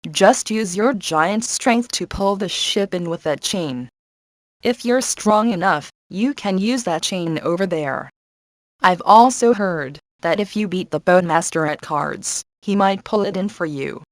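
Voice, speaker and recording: A woman's voice: lowish voice, no echo, clean studio sound. A woman playing a brave, sassy adventurer in a video game, briefing heroes with upbeat confidence and wry asides. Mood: confident, wry. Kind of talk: video game character voice lines.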